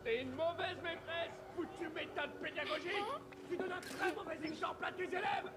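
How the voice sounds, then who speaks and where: An actor playing a cowardly, crude children's entertainer, melodramatic and self-pitying, in a dramatic film. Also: male voice, high voice, big pitch swings, normal speed, a crowd in the background. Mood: angry, desperate. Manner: frantic, crude, melodramatic, prone to yelling.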